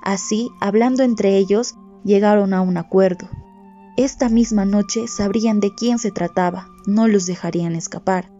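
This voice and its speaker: Mellow woman storyteller, muffled, over music. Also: mid-range voice, moderate pace. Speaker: storyteller reading a folk tale. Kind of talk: scripted storytelling narration. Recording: muffled audio with music underneath.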